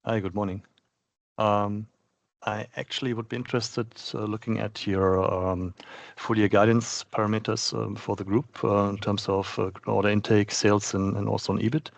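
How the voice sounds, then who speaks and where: A man asking a soft-spoken, polite question as an analyst on an earnings call. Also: on the low side, level tone, normal speed, gravelly, no echo.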